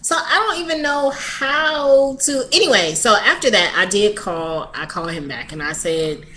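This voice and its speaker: A woman's voice: mid-range voice, normal speed, bright, forceful tone, a little hiss or hum, rough recording. A woman in her thirties sharing a candid personal video diary, hesitant and often restarting her sentences. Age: 30s. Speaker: vlogger.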